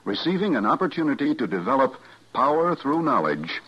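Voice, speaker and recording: A man's voice: mid-range voice, sing-song, a little fast. A man with an American accent reading a scripted announcement in vintage mid-century radio style. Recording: muffled vintage radio recording.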